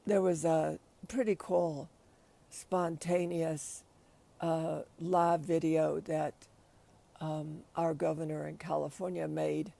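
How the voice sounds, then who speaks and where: A middle-aged woman with a raspy voice, speaking hesitantly on her livestream with many restarts and pauses, sometimes short of breath. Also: deep voice, dark tone. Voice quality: raspy after covid. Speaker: livestream host. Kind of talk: casual spoken reflection.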